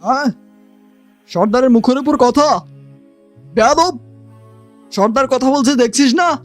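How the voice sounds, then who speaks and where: Cartoon bandit chief, male actor: high voice, theatrical swagger, boastful with a soft heart. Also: normal speed, soft background music, close to an everyday voice. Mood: scolding. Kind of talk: animated cartoon voice acting.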